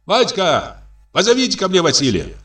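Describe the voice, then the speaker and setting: Country gentleman for a storybook, male narrator acting: stern, impatient, commanding, with animated rise and fall. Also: medium pitch, normal speed, breathy edge, soft background music. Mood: impatient orders. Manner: strict, commanding, irritated.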